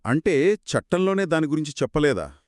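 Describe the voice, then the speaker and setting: Animated male host, dry close sound. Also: on the high side, fairly quick, no echo. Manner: inquisitive, recapping points. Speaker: host asking questions. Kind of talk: scripted two-person legal explainer discussion.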